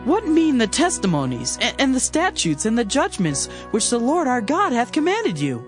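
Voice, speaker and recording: A woman's voice: on the high side, huge pitch swings, normal speed. A woman playing an earnest, curious young son questioning a parent in dramatized scripture. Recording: music bed behind the voice.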